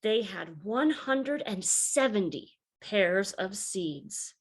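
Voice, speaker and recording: A woman reading a children's picture book aloud in an expressive, deliberate storytelling manner. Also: medium pitch, husky. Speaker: storybook reader.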